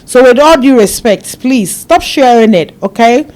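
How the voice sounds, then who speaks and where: Woman panel guest, earnest and insistent, somewhat high voice. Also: animated, unhurried, a little mellow. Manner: earnest, pleading, repetitive. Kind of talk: panel discussion.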